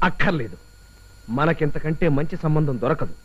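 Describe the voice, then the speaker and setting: An actor playing a smug, scheming groom in a film comedy. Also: male voice, on the high side, normal speed, highs cut off, natural voice.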